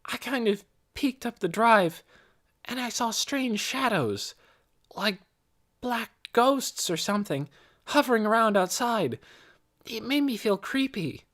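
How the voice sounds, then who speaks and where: Man putting on an eager, high-pitched young girl. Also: expressive, slow pace, a bit bright, exaggerated voice. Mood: uneasy, confessing. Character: eager, earnest young girl confessing what she saw. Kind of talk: audiobook character voice.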